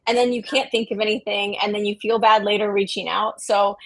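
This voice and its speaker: A woman's voice: mid-range voice, level tone, fairly quick, low-quality recording. A woman giving casual, candid interview answers in very informal, conversational speech. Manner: informal, peppers talk with "like", candid. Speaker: company co-founder, interview guest.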